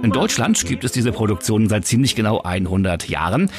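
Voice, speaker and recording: Lively, low-voiced man narrating a podcast. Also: fairly quick, loud music behind the voice. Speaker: podcast host and reporter.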